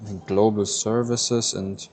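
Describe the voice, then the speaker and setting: A man's voice: on the low side, level tone, takes it slowly, a little mellow. A man whose first language is German, narrating a casual travel vlog and explaining each step as he goes. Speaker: travel vlogger. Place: airport and busy city street.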